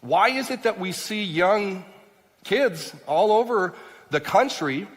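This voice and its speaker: A man's voice: sits high, expressive, slow pace. A man who grew up in Mexico and Ecuador, a congressional candidate giving an emphatic talk with slides at a church, often repeating words and rising on key points. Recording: long hall reverb.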